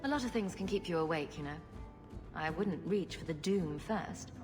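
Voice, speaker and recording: A quippy young game heroine, played by a woman, with a mid-range, lively voice. She is dryly humorous, in long reverb with music behind. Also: lowish voice, normal speed, natural voice. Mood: sarcastic. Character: quippy, sarcastic young female rogue hero. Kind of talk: video game character dialogue.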